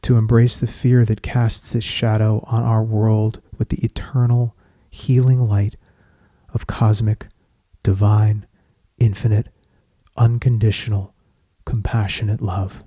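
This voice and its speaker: A man leading a guided meditation in scripted poetic narration, speaking in a measured, soothing, solemn manner with long pauses. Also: on the low side, little pitch movement, dark tone, no room sound.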